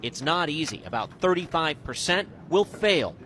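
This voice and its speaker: A man's voice: a little high, sing-song, moderate pace, fairly bright tone, a little hiss or hum. A man reading scripted narration as a news reporter.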